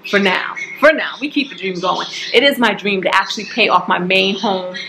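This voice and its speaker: A woman in her forties, talking casually to camera at home about her monthly budget, chatty and expressive, calling her viewers y'all. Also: medium pitch, moderate pace, music in the background, poor audio. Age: 40s. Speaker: budgeting vlogger. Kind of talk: casual direct-to-camera talk. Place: home staircase, indoors. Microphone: camera at close range.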